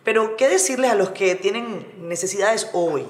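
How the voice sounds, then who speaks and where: A woman's voice: medium pitch, normal speed, darkish tone, reverberant. Woman interviewer asking probing questions.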